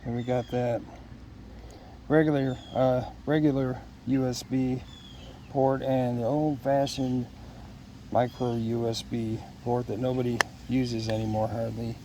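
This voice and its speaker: A man chatting casually through an unboxing, unscripted and halting as he thinks aloud. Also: medium pitch, level tone, warm tone, a little hiss or hum. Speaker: product unboxer.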